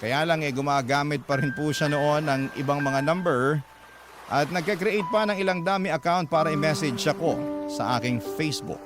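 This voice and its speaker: Male radio storyteller, fairly high voice, dramatic letter reading, loud music bed. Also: moderate pace.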